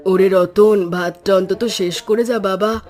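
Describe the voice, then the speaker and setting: A woman's voice: mid-range voice, steady tone, unhurried, a little mellow, soft background music, lightly acted. Fretful, chiding village mother, played by a woman. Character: poor, ailing, skeptical village mother. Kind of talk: animated Bengali children's story acting.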